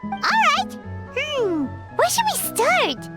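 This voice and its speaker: Cute little companion creature, childlike, piercingly high, unhurried, excitable game mascot, music behind. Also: female voice, full character voice. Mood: excited, curious. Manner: squeaky, cutesy, exclamatory. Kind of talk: video game character voice acting.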